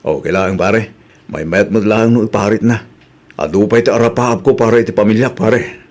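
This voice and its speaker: A man's voice: on the low side, normal speed, darkish tone, close to an everyday voice. An actor playing an earnest working man in an audio drama, speaking sincerely and plainly.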